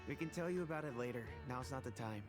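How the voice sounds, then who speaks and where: A jovial mercenary buddy, as an actor plays him talks in a mid-pitched man's voice with a loose, teasing bounce. Game music runs clearly underneath him. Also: fast, close to an everyday voice. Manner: loose, joking, excitable. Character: jovial, teasing male mercenary brigade member. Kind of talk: video game voice acting.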